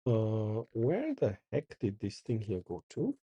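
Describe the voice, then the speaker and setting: A middle-aged man hosts a casual coding session, reading out commands and thinking aloud as he works. His voice is very dark and a little husky, with a lively, rising and falling pitch. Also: slow pace, dull audio. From Porto, Portugal. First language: Portuguese. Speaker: channel host. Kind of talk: casual live coding commentary.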